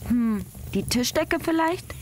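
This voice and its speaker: A woman's voice: on the high side, expressive, takes it slowly, a little hiss or hum. An actress playing a spirited, sceptical girl in an audio drama, musing expressively and half muttering. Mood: eager to help. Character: spirited sceptical girl, helpful, talking to herself. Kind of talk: German audio drama acting.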